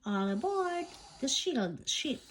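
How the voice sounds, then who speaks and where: A woman's voice: high-pitched, big pitch swings, slow pace, slight background noise, pitched above the performer's own voice, exaggerated voice. A woman voicing a brave, eager young princess knight girl in a storybook, earnest and exclaiming. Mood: excited.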